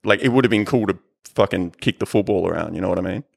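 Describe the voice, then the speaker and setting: A man's voice: low-pitched, fast, gravelly. A forty-year-old man in casual chat as a co-host, informal and sweary.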